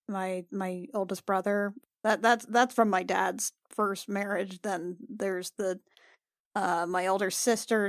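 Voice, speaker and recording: A woman plays a guarded young woman adventurer in a tabletop fantasy game. Her delivery is unhurried and halting, colloquial and wry, in a very dry recording. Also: mid-range voice, no room sound, natural voice.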